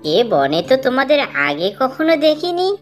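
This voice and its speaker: A woman's voice: sits high, sing-song, unhurried, faint music behind. A woman voicing a kindly, hospitable mother tailorbird in a children's cartoon. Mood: friendly, curious.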